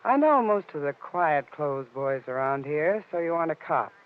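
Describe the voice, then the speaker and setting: A woman's voice: low voice, lively intonation, normal speed. An American actress playing a sly, flirtatious, streetwise nightclub woman in a 1940s radio detective drama. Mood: coy, teasing. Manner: coy, teasing, streetwise. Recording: noisy, muffled, lo-fi 1940s radio recording.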